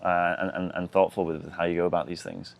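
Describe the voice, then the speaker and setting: Male podcast host with a very deep voice, leads the talk with halting questions. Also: little pitch movement, gravelly. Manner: steers the talk, asks questions with hesitant restarts. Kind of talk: casual podcast conversation.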